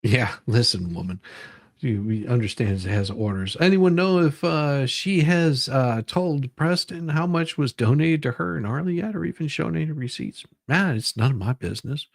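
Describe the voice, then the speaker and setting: A man giving casual live commentary as a stream host, halting and often repeating his phrases. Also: mid-range voice, expressive, gravelly, no room sound, clean studio sound. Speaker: livestream host.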